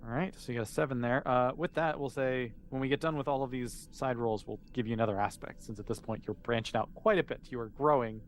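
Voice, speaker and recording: A man's voice: medium pitch, quick pace, few pauses, a little hiss or hum. A man, improvising casually and encouragingly as game master of a tabletop role-playing game.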